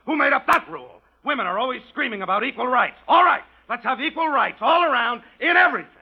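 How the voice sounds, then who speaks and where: A blustering, hot-tempered creditor, blunt and scornful, with a fairly high man's voice, played by an actor. Old-fashioned radio acting on a dull, muffled recording. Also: expressive, quick pace, breathy edge, heavily acted. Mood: belligerent. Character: blustering, hot-tempered man demanding a debt.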